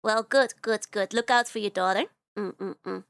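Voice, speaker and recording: A woman's voice: mid-range voice, measured pace, gravelly, a bit bright, smooth, dry recording. A young woman with a Dutch accent chatting casually on a livestream, giggly and rambling with lots of fillers. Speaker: VTuber streamer.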